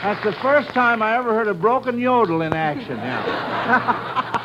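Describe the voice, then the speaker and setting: A man's voice: high voice, sing-song, measured pace, highs cut off, over a noisy crowd, heavy background noise. An American man hosting a 1940s radio quiz show in a folksy, encouraging way, on an old recording.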